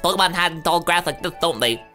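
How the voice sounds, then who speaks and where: A woman's voice: fairly low pitch, lively intonation, brisk, bright, forceful tone, faint music behind. A woman voicing a timid, polite young boy who stammers. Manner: shy, stammering.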